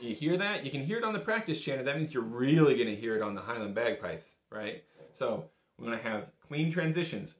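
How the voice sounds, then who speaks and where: A man's voice: medium pitch, lively intonation, a little fast, heavy background noise. A young man teaching a casual music lesson in an encouraging, easygoing, conversational way. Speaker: bagpipe instructor. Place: small indoor room, seated at a table. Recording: roomy indoor echo.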